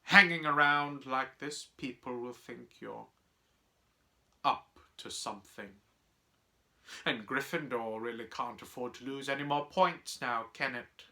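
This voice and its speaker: A storybook reader voicing a smooth, menacing male schoolmaster with a deliberate, sneering, threatening way of talking. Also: medium pitch, pushed and bright. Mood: smooth, sly.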